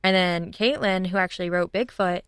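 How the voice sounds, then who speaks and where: A woman with a smooth, slightly low voice talks in an informal, enthusiastic way, as a guest in a casual interview. The sound is dry and close, with a clean, clear tone. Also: moderate pace, fairly bright tone, no room sound. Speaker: guest, audio drama writers' room leader.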